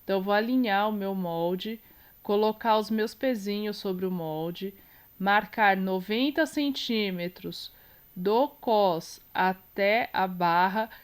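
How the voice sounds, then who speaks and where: A Brazilian woman teaches sewing in an unhurried, measured way, her voice stuffy and nasal from a cold. Beneath the congestion her tone stays smooth and clean. She talks through each step as she works, fixing small slips as she goes. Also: mid-range voice. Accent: Brazilian Portuguese. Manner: calm, explains while working, small self-corrections.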